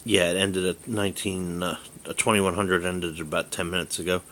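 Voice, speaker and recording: Male hobbyist vlogger, low-pitched and forceful in tone, casual walkthrough, meandering and hesitant, faintly noisy recording. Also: little pitch movement. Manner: halting, rambling, thinking aloud. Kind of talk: casual hands-on app demonstration.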